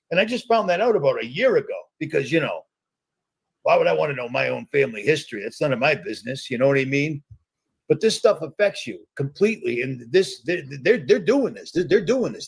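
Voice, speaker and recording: A man's voice: a little high, gravelly, husky. A man in his fifties from the United States, hosting a live reaction stream and ranting in a conspiratorial, repetitive way. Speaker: host.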